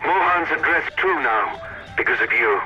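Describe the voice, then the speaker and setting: An actor playing an earnest, devout, brotherly rebel comrade in a video game, heard through a radio. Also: male voice, mid-range voice, expressive, moderate pace, pushed and bright, low-quality recording. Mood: relieved, grateful. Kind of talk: video game cutscene acting.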